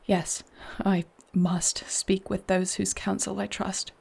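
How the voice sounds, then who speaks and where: A woman playing a noble warrior princess in a fantasy audio roleplay, speaking solemnly, formally and with deliberate care. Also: medium pitch, level tone, vocal fry, a little mellow, husky, heavily acted. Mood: anguished, grave. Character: noble warrior princess, grave, torn, devoted wife.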